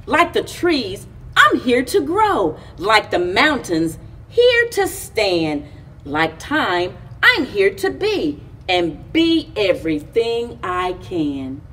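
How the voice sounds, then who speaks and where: Female storyteller, middle-aged, husky, very lively intonation, expressive read-aloud for kids, picked up by a distant camera mic. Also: mid-range voice. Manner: deliberate, animated phrasing with pauses between short lines. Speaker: library story time reader. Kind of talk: expressive picture-book read-aloud for children. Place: indoors, in front of a library chalkboard wall.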